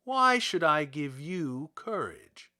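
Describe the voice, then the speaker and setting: A man's voice: a little high, very animated, slow pace, bright, forceful tone, heavily acted. A man voicing a great and terrible wizard spirit of fire in a storybook reading, solemn and commanding. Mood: solemn, imperious.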